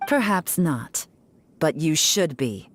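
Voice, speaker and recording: A woman voicing a cool, confident female investigator in a video game, calm, sly and quietly menacing. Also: sits low, slow pace, a little hiss or hum. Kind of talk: game voice acting.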